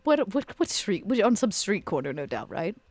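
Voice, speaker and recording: A woman's voice: medium pitch, very animated, normal speed, darkish tone, dry recording. A woman playing a tabletop role-playing game, speaking in character with a teasing, flirtatious manner. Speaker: player.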